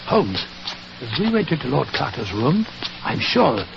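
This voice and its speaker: An actor playing an earnest, flustered British gentleman companion in a 1940s radio drama, hesitant and proper in his speech. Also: medium pitch, big pitch swings, measured pace, vocal fry. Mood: anxious. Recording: noisy, muffled 1940s radio recording.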